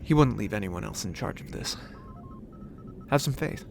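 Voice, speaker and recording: A man's voice: lowish voice, expressive, moderate pace. Earnest urgent inventor, voiced by a man. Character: earnest male hyperdrive inventor. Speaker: Gordon, an engineer. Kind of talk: fan audio drama acting. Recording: with clear background noise.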